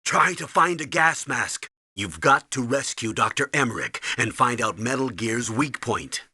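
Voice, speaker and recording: A middle-aged colonel in a video game, stern and urgent. His voice is husky and sharply forceful, giving orders with earnest emphasis, recorded very dry. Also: medium pitch, expressive, measured pace, no room sound, full character voice. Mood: instructing. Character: stern, urgent middle-aged male military commander, anxious mentor.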